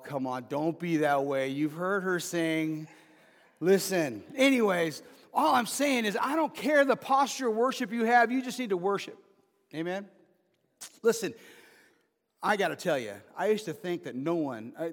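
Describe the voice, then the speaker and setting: High-voiced pastor preaching in an echoing hall. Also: male voice, lively intonation, normal speed. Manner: joking asides, rising to emphatic calls. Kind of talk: informal sermon.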